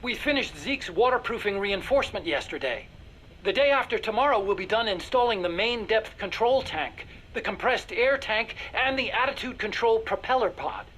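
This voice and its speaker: An actor playing an earnest, bookish male engineer in a video game, with a light, high voice and a slightly nervous, persuasive manner. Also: lively intonation, moderate pace, fairly bright tone, a little hiss or hum. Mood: businesslike.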